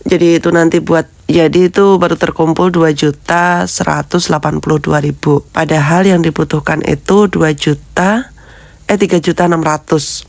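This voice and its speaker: Hoarse, congested woman vlogger. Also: deep voice, fairly even intonation, normal speed, dry recording. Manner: chatty, warmly addresses viewers as friends; reads figures out steadily. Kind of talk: casual budgeting vlog talk.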